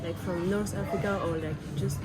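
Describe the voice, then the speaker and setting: A woman's voice: mid-range voice, fairly even intonation, fairly quick, over loud music. A young woman in her twenties with a French accent, a guest speaking English in simple phrases full of "like". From France. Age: 20s. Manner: simple phrasing with many "like" fillers. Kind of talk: casual interview.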